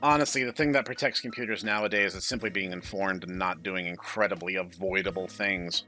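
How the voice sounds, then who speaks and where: A man's voice: deep voice, fairly quick, bright, forceful tone. A man hosting a let's-play, chatting casually and going off on opinionated, digressive asides over the game. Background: music.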